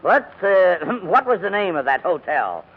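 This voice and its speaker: Fussy older gentleman, animated old-radio voice. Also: sits high, measured pace, exaggerated voice. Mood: grumbling, fussy. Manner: fussy, grumbling, formal, hesitant. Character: crusty, reluctant but kindly old English gentleman guardian. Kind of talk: 1940s radio acting. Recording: muffled vintage 1940s radio recording, music.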